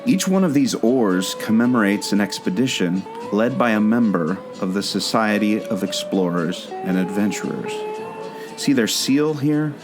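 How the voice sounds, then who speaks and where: A man's voice: lowish voice, expressive, a little slow. A man in a scripted audio play, earnestly explaining a mystery like a storyteller leading his listener along. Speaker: podcast co-host, former theme park skipper. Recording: guitar music behind the voice. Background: plucked guitar music.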